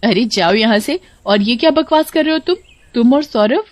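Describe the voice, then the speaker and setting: A woman voicing an excitable village wife in a cartoon, shrill, exclamatory and melodramatic. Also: normal speed, close to an everyday voice. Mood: disbelieving. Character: frightened, then indignant village wife of a ghost. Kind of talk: Hindi cartoon voice acting.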